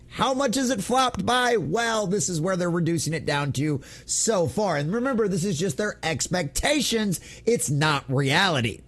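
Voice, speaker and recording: A man hosting an online gaming news show, giving opinionated commentary in an emphatic, theatrical, mocking way. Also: high-pitched.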